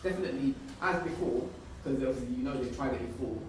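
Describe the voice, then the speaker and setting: A man's voice: medium pitch, fast. A man facilitating a community discussion in an emphatic way, repeating phrases to drive his point home. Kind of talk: group discussion, reflective reasoning. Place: indoors at a community centre, distant mic. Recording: echoey room from far off, noisy.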